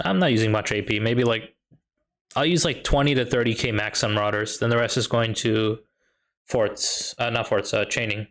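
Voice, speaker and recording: A young man from the US, a high school math teacher, chatting casually while playing a strategy game and answering viewers' questions. He thinks aloud with loose fillers and a light Chicago lilt. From United States. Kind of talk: casual live-stream chat while gaming.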